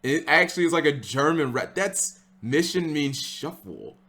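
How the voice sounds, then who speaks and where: A man's voice: fairly high pitch, expressive, normal speed, sharp tone. A man giving casual live reaction commentary as a streamer, exclamatory and often repeating his phrases.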